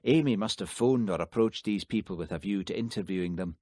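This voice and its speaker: A quiet, shrewd country constable who speaks in a calm, measured way, as a male reader puts him on. The voice is low, dry and close. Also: dry recording, natural voice.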